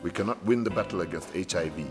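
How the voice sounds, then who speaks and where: A man reads a scripted quotation in a deep, low voice. Music with drums and guitar plays clearly behind him. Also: fast talker.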